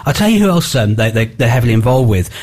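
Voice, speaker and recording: A man's voice: medium pitch, very animated, quick pace, a little mellow. A British man presenting on the radio, chatting and interviewing in an informal, rambling, jokey way.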